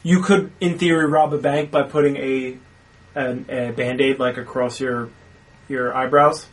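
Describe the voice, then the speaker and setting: A man with a medium-pitched voice chats in a loose group discussion about movies. His way of talking is wry and a little teasing. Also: steady tone, unhurried. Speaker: panelist on a movie discussion show. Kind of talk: casual group chat.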